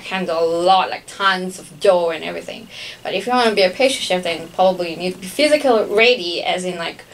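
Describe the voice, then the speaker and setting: A woman's voice: on the low side, low-quality recording. A young woman from Singapore, a pastry chef, answering interview questions casually with many fillers and little laughs. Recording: radio studio with faint noise.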